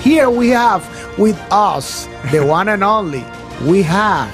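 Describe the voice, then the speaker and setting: Man, high voice, hyped radio host, switches languages, faint music behind. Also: animated, slow pace, a bit bright. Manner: upbeat, hyped announcer switching between English and Spanish. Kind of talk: bilingual morning radio show. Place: radio studio.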